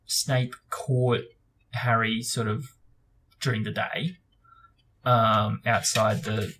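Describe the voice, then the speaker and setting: Creaky, husky man hosting a book talk. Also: lowish voice, level tone, takes it slowly, pushed and bright, low-quality recording. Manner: hesitant, informal phrasing with frequent "like" and "sort of". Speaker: book discussion host and reader. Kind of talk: book reading and casual discussion.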